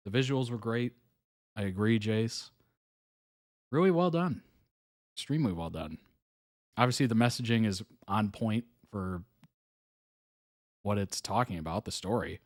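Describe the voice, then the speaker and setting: A man hosting a live reaction stream, chatting casually, reading chat messages aloud, with frequent ums and soft chuckles. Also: medium pitch, no echo, studio quality. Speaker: host reacting to videos live.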